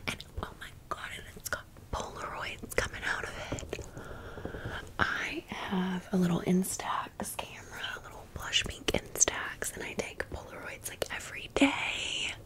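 An American woman hosting an ASMR storytime in a breathy whisper, with a casual, sassy, slangy way of talking. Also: fairly low pitch, lively intonation, unhurried. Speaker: ASMR video host.

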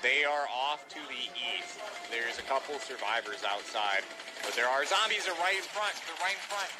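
A man's voice: mid-range voice, lively intonation, normal speed. Male gamer leading a squad, urgent repeated commands, live play, music behind him. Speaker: gamer leading a multiplayer roleplay team. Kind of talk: live gameplay team callouts.

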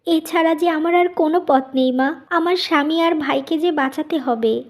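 A woman's voice: sits high, normal speed. A woman playing an earnest, often distressed young wife in a melodramatic family cartoon, pleading in her way of talking. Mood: sorrowful, resolute.

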